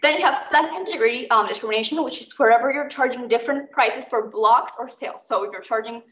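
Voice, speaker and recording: A young woman from Mexico tutors students in a chatty, informal way, talking very fast in a fairly high voice. The recording is muffled and roomy, like an online call. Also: a bit bright. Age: young adult. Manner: chatty, explains with everyday examples. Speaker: student tutor leading an exam recap. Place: indoors, online video call.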